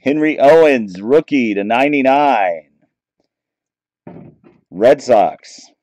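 A man talks slowly and easily as he runs through pack after pack, calling out names and teams in a relaxed, chatty way. His voice sits at an ordinary middle pitch. The sound is dry and close, with no room echo, from a camera mic on a table. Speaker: card break host. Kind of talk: casual commentary while opening trading card packs.

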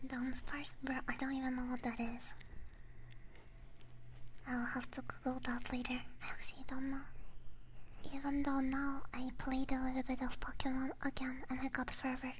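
A woman whispering softly and breathily in casual, playful ASMR live-stream chat, close to a binaural microphone. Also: high voice, monotone, normal speed. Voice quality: breathy, mostly unvoiced whisper. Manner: soft, informal, playful. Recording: close binaural mic, audible background noise.

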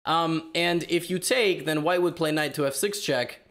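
A young man with a slightly hoarse voice from being sick, giving animated, joking commentary on chess games in a small room. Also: fairly high pitch, normal speed. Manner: animated, joking, hesitant fillers. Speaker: chess video host.

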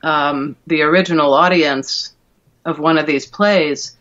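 A middle-aged woman explaining things thoughtfully and haltingly as an interview guest, over a video call. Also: low voice, steady tone, a bit bright. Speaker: guest, verse translator and classicist. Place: indoor room at home, video call. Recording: dull, lo-fi video call audio.

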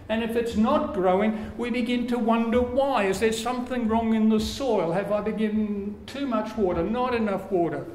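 An older man with an Australian accent preaching a sermon, slow and measured, his phrases falling away at the ends. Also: sits high, a little mellow. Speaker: pastor. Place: church room with curtains. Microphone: lapel mic. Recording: echoey church room, faint background hiss.

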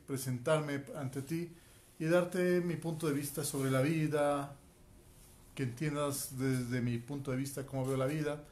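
A man's voice: medium pitch, darkish tone. A man in his forties from Quito with an Ecuadorian Spanish accent, a martial arts teacher introducing himself earnestly straight to camera, often tagging "ok?". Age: 40s. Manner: earnest, addresses viewer directly, frequent "ok?" tags. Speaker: martial arts instructor and life coach. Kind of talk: direct-to-camera self introduction. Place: small room at home, indoors. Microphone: phone camera at arm's length.

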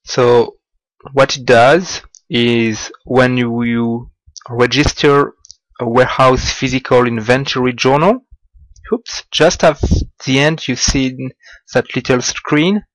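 A man giving a slow, halting walkthrough of business software over a screen recording, with small grammar slips. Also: mid-range voice, steady tone, no room sound, low-quality recording. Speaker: software demonstrator.

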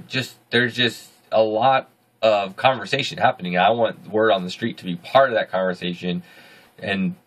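Deep-voiced man rambling in a home studio. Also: a bit bright. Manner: rambling, self-correcting, upbeat. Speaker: video journal host. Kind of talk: casual video diary. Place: small home studio room, indoors.

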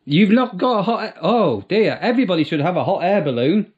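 A British man in his fifties chatting casually in a dry, deadpan way, recorded at arm's length in a room at home. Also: sits high, fairly quick. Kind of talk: casual prank phone chat. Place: small domestic room. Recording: slightly dull, lo-fi home recording.

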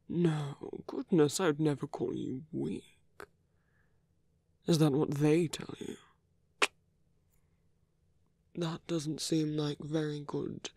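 Man acting a breathy, slow, seductive villain. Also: fairly high pitch, darkish tone, no echo, exaggerated voice. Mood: intimate, probing, then tender, coaxing. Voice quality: breathy, often dropping into whisper. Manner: slow, teasing, coaxing, with long pauses. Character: smooth seductive male villain tempting a captive hero. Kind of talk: ASMR roleplay voice acting.

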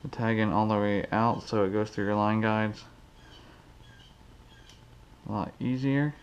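A man's voice: fairly low pitch, steady tone, unhurried, smooth, lo-fi sound. A man hosting a fishing channel, casually demonstrating a knot in his garage while counting the steps aloud. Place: cluttered garage, indoors. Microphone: head-mounted action camera.

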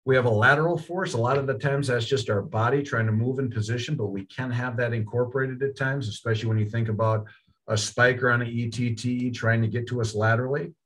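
A man's voice: mid-range voice, fairly even intonation, fast, fairly bright tone. A middle-aged man, a college football line coach, giving a conversational clinic talk over slides from a small room on a video call, often repeating words as he restarts.

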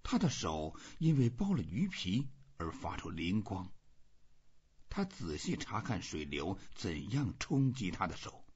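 Male narrator for literary audiobook. Very husky voice, slow delivery, slightly muffled recording. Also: deep voice, darkish tone. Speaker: audiobook reader.

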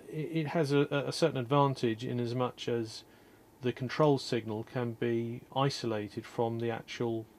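A man calmly explaining an electronics repair at his workshop bench, step by step, with frequent ums and uhs. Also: mid-range voice, creaky, slight background noise. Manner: calm, methodical, many ums and uhs. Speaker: electronics restorer. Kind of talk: technical repair walkthrough.

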